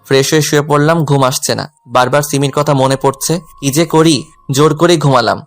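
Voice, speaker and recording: A man's voice: a little high, steady tone, fairly quick, close to an everyday voice. A man playing a lovelorn, self-effacing young tutor who narrates his own romantic story. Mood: brooding. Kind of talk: scripted first-person romantic story narration with dialogue.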